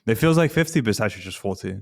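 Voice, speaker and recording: A man's voice: on the low side, animated, very fast, creaky. A man hosting a casual interview, asking questions in a conversational way.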